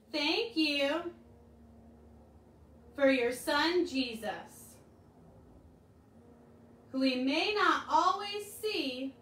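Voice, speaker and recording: A young woman leading a children's chapel lesson from her living room couch, talking brightly and animatedly to the kids. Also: high voice. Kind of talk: children's devotional and craft lesson. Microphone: camera a few feet away. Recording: echoey room, mic at a distance.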